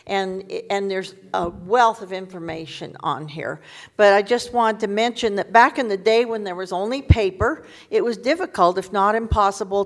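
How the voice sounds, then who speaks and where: A woman presenting to a community meeting on a handheld microphone, chatty with laughing asides and self-corrections. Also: medium pitch. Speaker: community group panelist. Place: museum meeting room.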